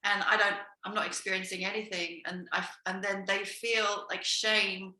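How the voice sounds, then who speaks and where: A woman hosting a heartfelt conversation, her voice croaky and a little weak as she recovers from illness. Her tone is bright and forceful despite the rasp, and she speaks effusively. The sound carries a roomy echo. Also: medium pitch, little pitch movement, moderate pace. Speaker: host. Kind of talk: casual conversational interview.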